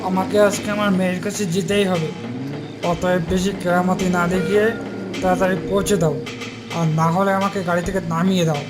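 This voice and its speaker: Man acting cranky old ghost man, high-pitched, bossy, scolding, horror audio story, prominent music behind. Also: flat delivery, normal speed, lightly acted. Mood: commanding. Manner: scolding, complaining, commanding.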